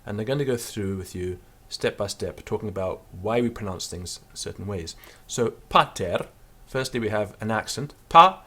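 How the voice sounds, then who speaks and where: A man giving an unscripted pronunciation lesson, explaining with fillers and then slowly sounding out words to demonstrate them. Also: on the low side, animated, pushed and bright. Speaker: Latin teacher.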